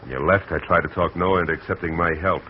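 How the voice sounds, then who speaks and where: A man plays a hard-boiled private eye who narrates in a clipped, wry, tough way. His voice is extremely deep. The old broadcast sounds dull and carries a faint hiss. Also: fairly even intonation, fast, natural voice. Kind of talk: 1940s radio acting.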